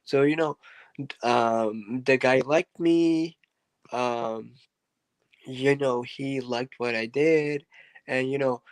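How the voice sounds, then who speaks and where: A man's voice: medium pitch, slow, pauses often, clean tone, no echo, poor audio. A man, a podcast guest, telling a story in a casual, rambling way with many fillers and asides.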